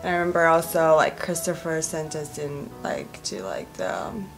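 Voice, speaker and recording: A young woman with a low voice talks loosely, circling her thoughts with fillers. She is being interviewed about acting. Music plays behind her. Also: big pitch swings, normal speed, gravelly. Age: 20s. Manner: rambling, many "like" and "you know" fillers. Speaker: actress. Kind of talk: casual interview answers.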